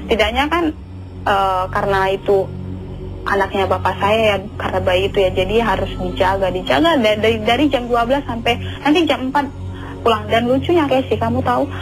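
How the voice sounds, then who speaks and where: A woman's voice: fairly high pitch, low-quality recording. A woman from Medan with an Indonesian accent, calling into a radio show and telling a family story earnestly over the phone. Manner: earnest, repetitive, often addresses the host. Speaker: caller. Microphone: phone line. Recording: radio phone-in with music underneath.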